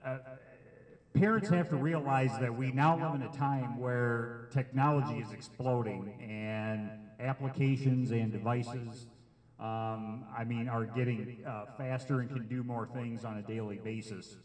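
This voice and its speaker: A middle-aged man with a loud, carrying voice gives an informal public presentation in a hesitant, plain-spoken way with frequent pauses. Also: medium pitch, steady tone, in a large hall. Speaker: sheriff's detective giving a public safety presentation. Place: meeting room with an audience. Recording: amplified microphone, distant room pickup.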